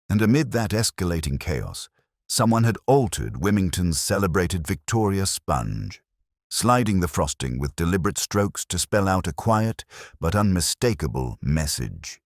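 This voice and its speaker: A man's voice: rumbling low, no echo, studio quality. A man reading scripted narration for a village mystery story.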